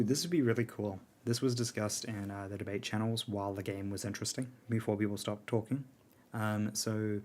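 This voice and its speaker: A man chatting casually on a live stream, his low voice strained and worn. He talks in a laid-back way, full of ums and soft laughs. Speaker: streamer and alliance leader answering chat. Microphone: earbuds.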